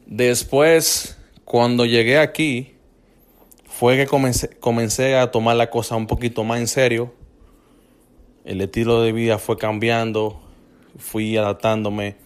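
A man's voice: medium pitch, smooth. A man from the Republic, hosting a casual motivational fitness podcast, talking loosely, repeating himself and dropping in English fillers. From Dominican Republic. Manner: loose and repetitive, restarting phrases, mixing in English fillers.

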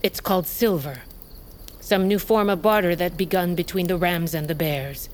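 Low-voiced wolf queen played by a woman, bossy and proud, crickets in the bg, radio drama. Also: moderate pace, darkish tone, slight background noise, natural voice. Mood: scheming. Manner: authoritative, clipped commands.

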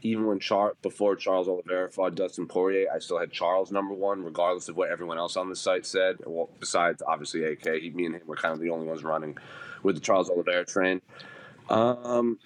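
A man's voice: low-pitched, steady tone, quick pace. A man giving his opinion as a panelist in a casual sports discussion. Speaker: panelist on an MMA preview show.

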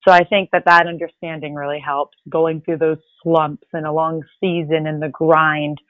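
Low-voiced woman, dull lo-fi sound. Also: flat delivery, moderate pace, no echo. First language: Spanish. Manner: earnest, rambling, with light laughs. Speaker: sports reporter, guest. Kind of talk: casual conversational interview.

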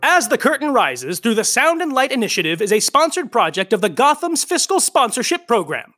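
An arch male soap-opera announcer in a comic audio drama. The delivery is highly animated and bright, over light music. It is theatrical and mock-grand, treating every line as a gushing flourish. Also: brisk, full character voice. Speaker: narrator. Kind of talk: scripted comic narration and credits.

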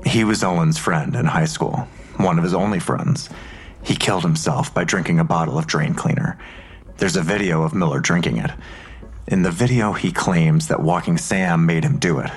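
Deep-voiced investigator. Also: male voice, moderate pace, slightly rough. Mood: matter-of-fact. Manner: matter-of-fact, methodical. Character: matter-of-fact male investigator briefing on a suspect. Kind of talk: audio drama acting.

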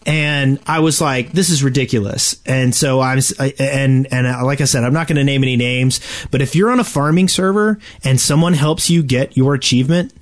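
A man hosting a casual gaming podcast, telling stories in an animated, conversational way and acting out exchanges. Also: mid-range voice, a little fast, hardly any pauses. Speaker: podcast host.

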